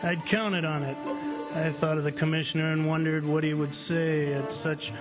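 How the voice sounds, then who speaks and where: Man acting a proud, high-voiced plantation owner. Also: moderate pace, natural voice. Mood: self-assured. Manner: calm, declamatory, confident. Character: proud, stubborn male plantation owner, coolly self-assured. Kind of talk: radio drama acting and first-person narration. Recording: radio drama with music underneath.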